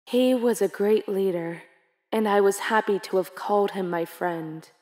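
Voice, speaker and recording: A woman voicing a poised female prime minister giving a formal, measured speech in an animated fan series. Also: medium pitch, close to an everyday voice. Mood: stately. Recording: long hall reverb.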